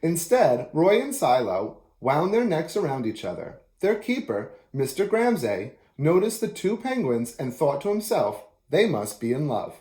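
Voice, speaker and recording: A man reading a children's picture book aloud as an expressive storyteller, in an echoey room. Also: on the high side, a little slow, hardly any pauses.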